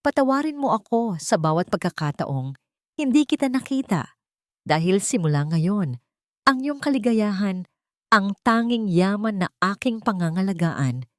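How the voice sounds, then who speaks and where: A man's voice: high voice, very animated, normal speed, dry recording, studio quality. A woman storyteller voicing an earnest, devoted groom in a solemn, tender manner. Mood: solemn devotion. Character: earnest, devoted groom vowing love to his bride.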